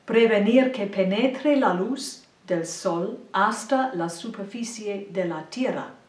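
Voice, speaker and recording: An older woman works through a lecture slide by slide, slowly and carefully, with the phrasing of someone speaking a second language. Her voice sits at a middle pitch. The sound is roomy and a little distant, as if the mic is set back from her. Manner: careful, deliberate non-native phrasing. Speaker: presenter. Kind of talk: slide lecture read point by point. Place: small indoor room with a plain wall.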